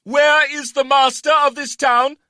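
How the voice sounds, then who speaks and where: Guy doing a bold formal woman herald calling out, really high forceful voice, fantasy audiobook. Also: flat delivery, measured pace, dry recording, clean studio sound, exaggerated voice. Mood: imperious. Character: commanding human woman herald, deep yet feminine. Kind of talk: audiobook character voice.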